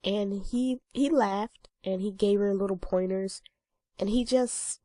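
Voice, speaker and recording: A woman's voice: mid-range voice, steady tone, takes it slowly, warm tone, no echo, studio quality. A young woman in her twenties with a stuffy, congested nose, chatting casually to her viewers with lots of ums and uhs. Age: 20s. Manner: rambling, many ums and uhs. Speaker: vlogger. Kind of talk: casual talking-head vlog.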